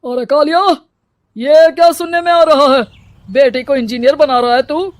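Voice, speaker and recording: A performer voicing a stern, domineering male village headman in an animated moral story, commanding and scolding. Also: sits high, moderate pace, no room sound, close to an everyday voice. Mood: suspicious, commanding.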